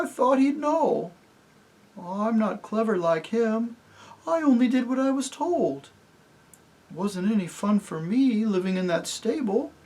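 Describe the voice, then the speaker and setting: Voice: mid-range voice, a little mellow, husky, voice pushed up. A reader voicing a timid, simple male donkey who stammers apologetically. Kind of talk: audiobook character voice.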